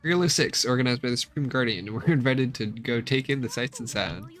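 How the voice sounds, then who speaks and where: A man's voice: medium pitch, quick pace, soft background music, lightly acted. A man voicing a perky, pushy young female adventurer from a space video game, eager and emphatic. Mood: eager, chatty.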